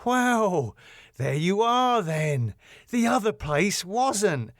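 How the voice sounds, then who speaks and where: A man's voice: sits high, very animated, extremely slow, dry recording, clean studio sound, pitched above the performer's own voice, heavily acted. A man doing a glib wisecracking promoter. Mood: placating. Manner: flippant, wisecracking, placating. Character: glib cheeky male music promoter.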